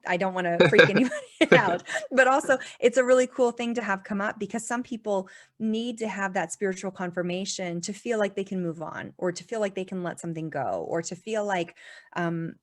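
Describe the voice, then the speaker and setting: A woman as a podcast guest in casual conversation, chatty and full of "like" fillers, laughing often. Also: medium pitch.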